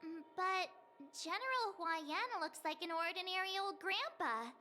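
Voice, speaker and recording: A woman voicing a small, childlike game mascot creature with a squeaky voice, chatty, blunt and nosy. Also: expressive, normal speed, pushed and bright, full character voice. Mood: puzzled. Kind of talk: video game character dialogue. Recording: music behind the voice.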